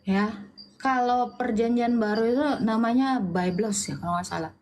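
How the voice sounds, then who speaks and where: A high-pitched woman argues in a heated online debate. She speaks informally, teases her opponent and keeps repeating her challenge. A faint hiss sits under her voice. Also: normal speed, darkish tone. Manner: mocking, insistent, repeats her question; informal slangy speech.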